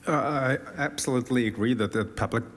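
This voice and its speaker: A man making an earnest argument as a panel guest, pausing often on uh. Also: mid-range voice. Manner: earnest, frequent uh fillers. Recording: long hall reverb.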